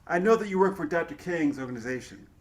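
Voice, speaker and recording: A man's voice: fairly high pitch, animated, fast, natural voice. A man playing an earnest, persuasive civil rights leader in a dramatic reading, speaking in an oratorical way. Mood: earnest appeal.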